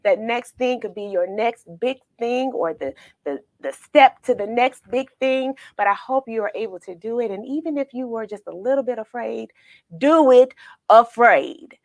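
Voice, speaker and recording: A woman with a slightly high voice hosts a children's story livestream. She talks casually and cheerfully, addressing her audience directly as a teacher would. The sound is dry, with very little room echo. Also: lively intonation, moderate pace. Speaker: host of a children's book read-along livestream. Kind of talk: casual livestream chat with viewers.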